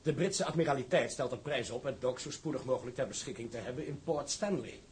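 An actor playing an inquisitive male newspaper reporter in a drama, asking questions in a formal, brisk manner. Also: mid-range voice, darkish tone, slight background noise, lightly acted. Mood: curious, polite.

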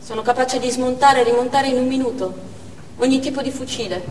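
A woman's voice: sits high, brisk, a little mellow, natural voice. An actress playing a hardened Chechen woman militant in a theatrical stage monologue. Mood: proud, steely. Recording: echoey hall, distant mic, faint noise.

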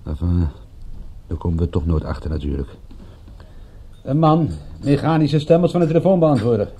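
A man playing a world-weary, tender private detective in a radio drama, speaking in a measured, intimate way. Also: medium pitch, big pitch swings, warm tone, slightly rough. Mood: wry. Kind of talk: radio drama acting with first-person narration.

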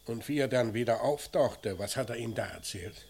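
A man's voice: medium pitch, a little fast, vocal fry, darkish tone, slight background noise, lightly acted. A man acting a terse, probing police commissioner in a radio crime play, speaking in a curt, matter-of-fact way. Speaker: actor in a radio crime drama.

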